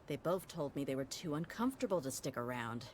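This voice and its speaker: A woman's voice: very deep voice, brisk, noisy background. A voice actress playing a blunt, confident female mercenary leader who speaks frankly and steadily. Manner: frank, self-assured and steady. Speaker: game character.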